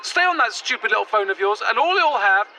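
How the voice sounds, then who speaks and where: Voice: medium pitch, lively intonation, fast talker, sharp tone, faint music behind, poor audio. An actor playing an exhausted, indignant traveller with a British accent in an audio drama, cutting and exasperated. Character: exhausted, indignant traveller Madeline, pleading then sharp-tongued.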